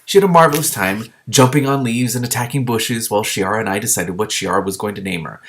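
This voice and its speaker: Male story reader, lowish pitch, expressive narration with informal asides. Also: fast, gravelly. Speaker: host reading a novel aloud with commentary.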